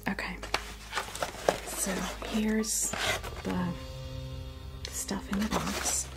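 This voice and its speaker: A woman chatting in a breathy, creaky whisper for an ASMR unboxing video, rambling hesitantly through little asides as the host. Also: medium pitch, flat delivery, drawn-out words. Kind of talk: ASMR, soft rambling chat. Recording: music playing behind the voice. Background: clear background music.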